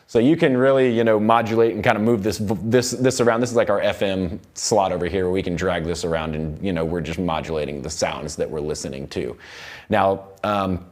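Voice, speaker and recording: An American man in his thirties giving an informal software tutorial as an instructor, often repeating and rephrasing himself as he goes. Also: low voice, a little fast. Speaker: audio production instructor. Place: recording studio control room.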